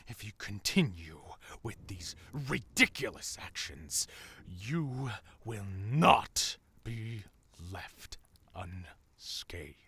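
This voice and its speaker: A man playing a stern, possessive royal father in a fantasy audio drama, husky and halting, with an imperious, menacing manner. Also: fairly low pitch, very animated, pushed and bright, full character voice. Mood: forbidding. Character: stern, possessive king, anguished father of ailing princess. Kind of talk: audio roleplay drama acting.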